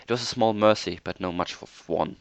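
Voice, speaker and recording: A man voicing a regal night princess pony who speaks in a formal, measured way. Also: sits low, vocal fry, no echo. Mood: grave.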